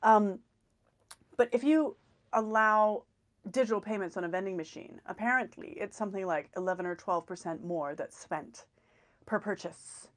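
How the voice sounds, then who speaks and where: A woman's voice: mid-range voice, moderate pace. A woman hosting a personal finance live stream, talking in a rambling casual monologue with many fillers and repeated words, a little run down. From grew up in Hong Kong, Canada, Australia and New Zealand.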